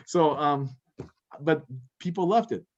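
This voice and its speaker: A man's voice: fairly high pitch, animated, slow, muffled recording. A middle-aged American man, a rabbi, chatting through a talk over a video call and chuckling as he goes. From United States. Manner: chatty, chuckles often between thoughts. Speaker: rabbi and guest speaker. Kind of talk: relaxed lecture Q&A.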